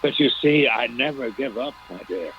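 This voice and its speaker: An actor playing a fat, lovesick gangster villain in theatrical, menacing film-noir radio style, with a muffled sound. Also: male voice, medium pitch, animated, moderate pace, music in the background, close to an everyday voice. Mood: gloating. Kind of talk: hard-boiled film-noir radio acting.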